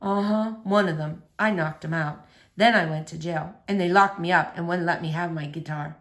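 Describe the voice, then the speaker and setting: A woman voicing a lonely, gentle middle-aged man, a shy ex-convict guitarist who talks in a quiet, slow mumble. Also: fairly low pitch, pitched below the performer's own voice, natural voice. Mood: quiet confessing.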